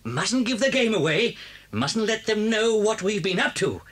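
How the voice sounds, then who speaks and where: Suave, animated British fox, slightly husky. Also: male voice, high voice, moderate pace, heavily acted. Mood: bossy, gleeful. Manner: theatrical, suave, relishing his words. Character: sly, confident, charming male fox and father. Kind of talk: children's audio story dramatisation.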